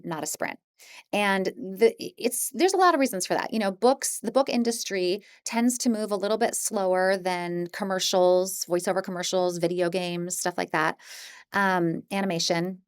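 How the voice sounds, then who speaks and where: Female course presenter, medium pitch, informal and encouraging, talks like a mentor, very dry recording. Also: no room sound. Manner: chatty, encouraging, informal and self-deprecating. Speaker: audiobook narrator teaching an introductory course. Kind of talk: casual, conversational course presentation.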